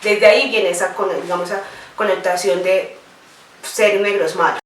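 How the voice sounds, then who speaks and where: A woman explains a point in an interview, pausing with fillers and tag questions. She sits some distance from the mic in an echoey room. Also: mid-range voice, fairly even intonation, a little hiss or hum.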